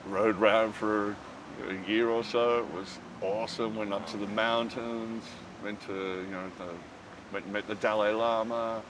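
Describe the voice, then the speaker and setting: A man's voice: on the low side, flat delivery, normal speed. A middle-aged Australian man telling stories in a casual interview, rambling and laughing often with lots of "you know"s. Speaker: interview guest. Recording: distant mic with city noise.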